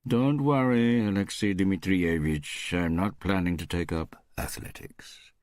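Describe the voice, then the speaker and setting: A man plays a stubborn militia detective in a crime audiobook. He is gruff and curt, with a very deep voice, recorded dry. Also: a little slow, darkish tone, no room sound. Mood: dry banter.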